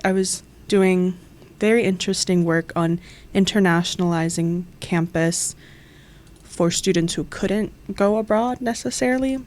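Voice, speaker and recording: Young woman, from Florida, lowish voice, friendly, detailed interview answers. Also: darkish tone. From Florida, USA. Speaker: exchange coordinator.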